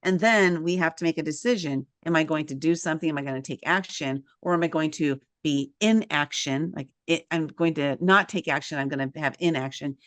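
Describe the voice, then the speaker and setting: A woman's voice: low voice, fairly quick, few pauses, no room sound. A woman hosting a casual author chat, telling stories and acting out her own quotes. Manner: animated storyteller, acts out quotes. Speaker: host.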